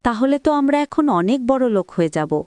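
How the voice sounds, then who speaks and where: মহিলার অভিনয়ে আদুরে, খুঁতখুঁতে বউ, ওঠানামা সুর. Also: female voice, a little high, very animated, moderate pace, a little mellow, slight background noise. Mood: curious, excited. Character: Ramu's wife, nagging yet doting, eager for riches. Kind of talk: cartoon story dubbing.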